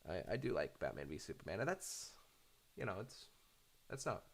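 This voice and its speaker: A man chatting casually in a halting, hesitant way, rambling off on tangents. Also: deep voice, big pitch swings. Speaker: fandub creator talking off the cuff.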